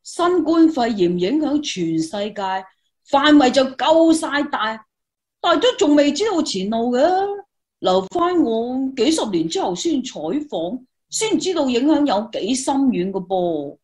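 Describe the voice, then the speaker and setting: Woman acting an eager, high-pitched young student reporter. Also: unhurried. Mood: earnest, fretful. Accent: Hong Kong Cantonese. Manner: exclamatory, expressive. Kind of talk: Cantonese radio drama dialogue.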